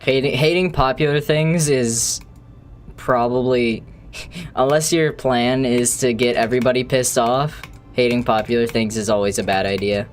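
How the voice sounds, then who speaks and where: A man's voice: mid-range voice, steady tone, moderate pace. A young man chatting casually on a live stream while playing, rambling, joking and swearing freely.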